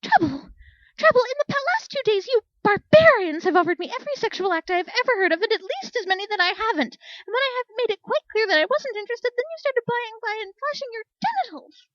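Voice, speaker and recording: A woman's voice: extremely high pitch, expressive, fast, a bit bright, dry recording, dull audio, voice pushed up. Woman voicing a flustered, stammering young bookish mare. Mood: outraged, shrill. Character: shy, excitable, bookish young female unicorn, easily flustered.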